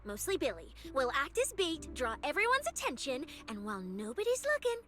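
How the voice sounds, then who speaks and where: A woman's voice: high-pitched, very animated, brisk, a bit bright. A woman voicing a cocky, teasing young snake-woman case specialist who talks in a playful, bossy way, in a game dub. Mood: scheming.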